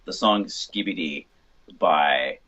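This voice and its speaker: A man's voice: low-pitched, slow, bright, forceful tone. A man chatting casually in a group band interview, slangy and enthusiastic. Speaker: band member being interviewed. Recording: dull, muffled lo-fi sound.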